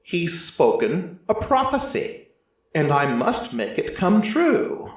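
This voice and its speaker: A man narrating dramatic first-person fiction in a deliberate, theatrical storytelling manner. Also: fairly high pitch, wildly swooping pitch. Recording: very echoey, distant from mic.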